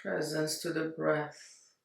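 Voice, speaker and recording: A woman guides a meditation in a gentle, very level voice. She speaks slowly and leaves long pauses between short phrases. The sound is roomy and echoing. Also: deep voice, little pitch movement, vocal fry. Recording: roomy echo, distant mic.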